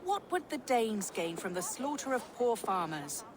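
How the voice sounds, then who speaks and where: A fairly high, animated female voice as an inquisitive medieval abbess in a game. Her phrasing is formal and level-headed. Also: normal speed. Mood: earnest. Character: composed, inquisitive Saxon abbess investigating dark mysteries. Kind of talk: video game cutscene acting.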